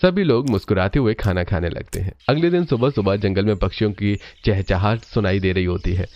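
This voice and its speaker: एक आदमी जो एक कहानी को स्थिर, सधी हुई आवाज़ में सुनाता है।. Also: male voice, on the low side, fairly quick, a little mellow, dull audio. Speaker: narrator. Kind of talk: scripted story narration.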